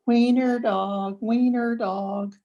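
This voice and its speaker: Woman voicing jeering, high-pitched mocking dogs. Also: steady tone, slow pace, mellow, muffled recording. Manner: taunting, chanting.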